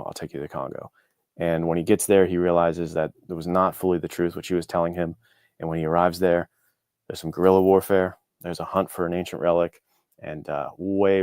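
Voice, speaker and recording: A man with a deep voice, recorded close and dry, chats as a guest author. He explains things informally and at length, with an enthusiastic, rambling manner. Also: a little mellow, no room sound. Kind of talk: casual conversational interview.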